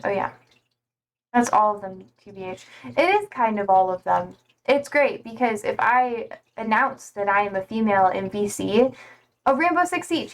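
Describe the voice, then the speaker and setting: A woman's voice: a little high, poor audio. A young woman streaming live, chatting casually and reading out viewer comments in a slangy, rambling way full of "like".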